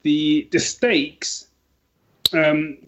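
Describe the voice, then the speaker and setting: A man's voice: fairly high pitch, steady tone, extremely slow, fairly bright tone, low-quality recording. A man talking casually and enthusiastically about books as a podcast guest, emphatic and often restarting his sentences. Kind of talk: casual book chat.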